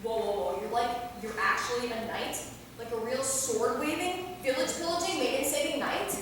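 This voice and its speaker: Brash high-voiced vampire girl acted by a woman. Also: normal speed, a bit bright, natural voice. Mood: incredulous, excited. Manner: sarcastic, slangy, blunt. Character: brash, flippant, excitable female young vampire. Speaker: stage actor in a staged reading. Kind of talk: live staged play reading. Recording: echoey hall from far off, faint noise.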